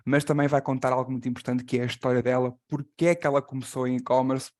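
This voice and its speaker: A man's voice: mid-range voice, steady tone, very fast, a little mellow. Portuguese man hosting a live call. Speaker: host and translator of an online class. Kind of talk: introducing a guest on a live call.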